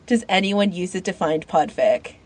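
A woman's voice: on the low side, expressive, normal speed, background noise. A woman co-hosting a podcast in casual chat, informal and opinionated, often peppering her sentences with "like". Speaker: podcast co-host.

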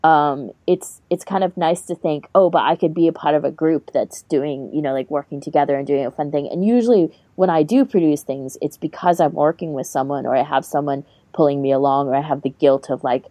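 A woman's voice: low voice, a little fast, few pauses, mellow, dry recording. A woman co-hosting a podcast, chatting casually and conversationally. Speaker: podcast co-host.

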